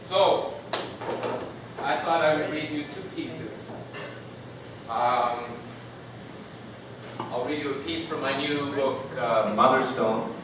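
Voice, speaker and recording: A man speaks at a live poetry reading with a deliberate, unhurried delivery. His voice sits at a medium pitch. It reaches the microphone from a distance, through heavy room echo and clear background noise. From Canada. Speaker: poet reading from own work.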